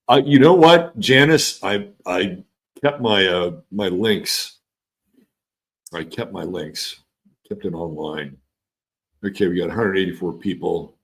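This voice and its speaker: A man with a low voice and an accent that blends California with the East Coast, hosting a casual livestream. He talks slowly and loosely, wandering off mid-thought and starting sentences over as he reads out viewers' comments. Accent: California and East Coast mix. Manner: loose and rambling, trails off, restarts, swears casually. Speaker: livestream host.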